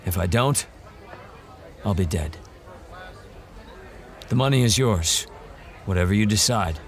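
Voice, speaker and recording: Man voicing a gruff wasteland monster hunter, low voice, blunt, steady, audio drama. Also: takes it slowly. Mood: earnest. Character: hardened male monster hunter, bold and scheming.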